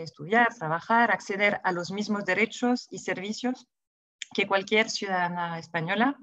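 A woman's voice: fairly low pitch, no echo. Woman, forties, French accent, native French speaker. Formal seminar remarks, reflective delivery, dry lo-fi earphone-mic call. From France. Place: small indoor room. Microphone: wired earphone mic on a video call. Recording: dull, lo-fi video call audio.

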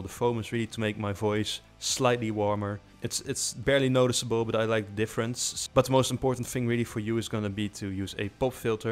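A man with a low voice giving a casual software tutorial, close on a desktop mic with a pop filter, explaining quickly with filler words. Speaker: tutorial host. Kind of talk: screen-recorded software tutorial. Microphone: desktop USB condenser mic with foam and pop filter.

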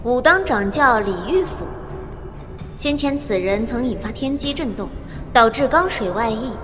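Woman playing calm formal seer at court, high pitched, big reverb, explains things carefully. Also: normal speed, lightly acted. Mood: composed, certain. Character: composed seer reporting omens to the empress. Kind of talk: Chinese audio drama acting. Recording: long reverb, music behind.

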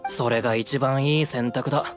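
A man's voice: mid-range voice, fairly even intonation, moderate pace, music in the background, lightly acted. Smug, dismissive townsman played by a man. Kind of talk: Japanese anime-style game dialogue.

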